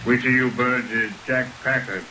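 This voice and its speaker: An actor playing a gruff, bossy small-town police sergeant, curtly giving orders in an old radio drama. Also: male voice, mid-range voice, steady tone, moderate pace, noisy background, natural voice. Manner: curt, barking orders. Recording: old radio recording under rain sounds.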